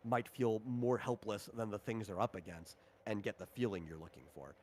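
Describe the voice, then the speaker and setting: A man's voice: lowish voice, moderate pace, darkish tone, slight background noise. A man casually explaining tabletop game rules in an informal, conversational way as he runs a session. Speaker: game designer running a tabletop RPG session.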